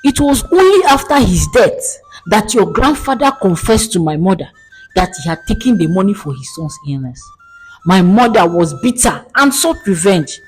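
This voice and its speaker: A woman's voice: mid-range voice, a little mellow, breathy edge, low-quality recording. A woman voicing a bitter, vengeful nanny, a folktale villain, angry and emphatic with an emotional, cracking voice.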